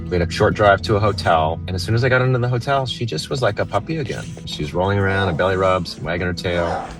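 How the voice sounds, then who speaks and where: A man's voice: sits low, fast, poor audio. A man telling a personal story in an interview, speaking earnestly and from the heart. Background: music.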